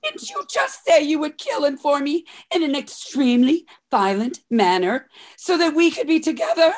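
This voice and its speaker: A woman's voice: sits high, big pitch swings, normal speed. A woman playing a melodramatic, conniving mistreated wife in an old-time radio comedy mystery. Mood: arguing. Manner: overwrought, theatrical.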